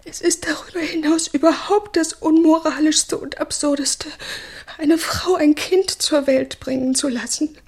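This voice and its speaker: A woman's voice: sits high, steady tone, a little slow. An actress playing an anxious, love-sick but proud young woman in a German radio drama, urgent and emotional, often sinking toward a whisper. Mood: defiant, urgent.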